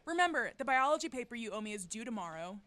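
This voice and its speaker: An actress playing a snide, bossy teenage cheerleader who mocks and taunts in an audio drama. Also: female voice, fairly high pitch, sing-song, quick pace, bright, forceful tone. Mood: mocking. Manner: mocking, taunting. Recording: busy crowd chatter around the voice. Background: crowd in a school hallway.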